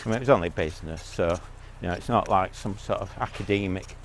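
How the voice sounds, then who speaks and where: A man's voice: sits low, quick pace, a little hiss or hum. A man giving a blunt, rambling monologue as a vlogger, talking while out on a walk.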